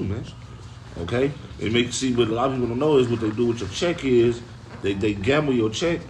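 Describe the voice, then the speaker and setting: A man with an American accent and a mid-pitched voice exhorts listeners in an informal religious discussion. His delivery is colloquial, broken up by frequent interjections. A little noise runs behind him. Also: recorded from a distance, rough recording. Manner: colloquial, punctuates with "man", "hey" and "all right". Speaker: speaker leading a religious open forum.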